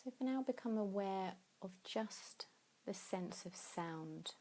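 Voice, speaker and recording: Yoga lady doing a sleep meditation, soft mellow tone w some creaky fry, takes her time. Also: mid-range voice, expressive. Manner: soothing, unhurried, pausing between phrases. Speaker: yoga nidra meditation teacher.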